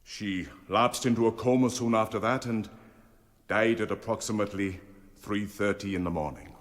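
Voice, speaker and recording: Man acting a proper family doctor, low-pitched, formal, defensive, hesitant, radio drama witness. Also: normal speed, close to an everyday voice. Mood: formal, guarded. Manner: formal, hesitant under pressure.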